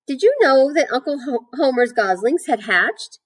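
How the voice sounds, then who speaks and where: Woman reading as an earnest farm girl, animated. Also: high voice, moderate pace, breathy edge, pitched above the performer's own voice. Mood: eager news. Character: earnest, chatty, matter-of-fact farm girl.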